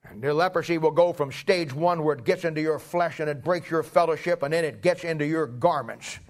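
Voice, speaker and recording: A man's voice: on the high side, brisk. A middle-aged man preaching a sermon with anecdotes in a folksy, emphatic way, often repeating phrases for weight.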